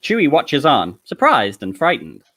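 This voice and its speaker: A man's voice: mid-range voice, huge pitch swings, unhurried, pushed and bright. A man reading screenplay stage directions as narrator of a comedy table read, with an amused manner.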